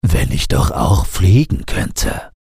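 Man playing a slow, grumbling fat water pump. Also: very animated, creaky, a little mellow, breathy edge, no echo, clean studio sound, full character voice. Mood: sulky, envious. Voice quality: grumbling, low. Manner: slow, drawn-out, sulky. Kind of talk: bedtime storybook character voice.

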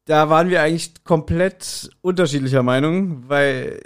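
A man's voice: fairly high pitch, normal speed, clear, resonant tone. A man with speech co-hosts a podcast, explaining his points casually and colloquially and checking for agreement. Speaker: podcast co-host.

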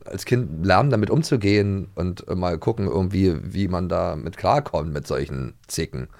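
A man's voice: deep voice, moderate pace, creaky, darkish tone, no echo, clean studio sound. A German man co-hosting a podcast, giving his opinions in an earnest, hesitant way. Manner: earnest, hesitant, many fillers. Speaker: podcast co-host. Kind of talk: casual chat.

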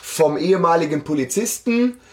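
A man's voice: on the high side, expressive, moderate pace, a little mellow. A man chatting casually as a podcast host, colloquial and playfully ironic. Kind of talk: casual fan podcast chat about an audio drama.

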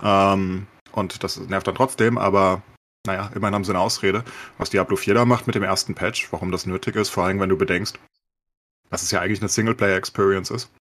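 A man's voice: low-pitched, steady tone, brisk, fairly bright tone. A man chatting casually as a podcast panelist about games, in colloquial speech sprinkled with English gaming slang.

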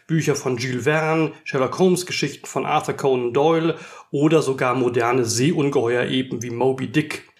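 A man co-hosting a podcast in a conversational style. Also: mid-range voice. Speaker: podcast co-host.

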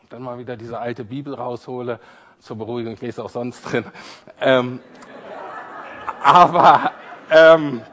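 A man preaching, his voice a little hoarse and husky from a cold. He talks informally and pokes fun at himself, with many pauses and fillers, at a middle pitch. The sound carries a long reverb from a church hall. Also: steady tone, fairly bright tone, a faint crowd. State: has a cold, voice a bit husky. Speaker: pastor. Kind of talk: prayer and sermon.

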